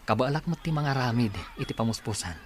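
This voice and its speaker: A man acting a humble, earnest lover in an audio drama. He has a mid-pitched male voice and speaks as a grateful, devoted man. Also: brisk, close to an everyday voice. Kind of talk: Filipino radio-style drama dialogue.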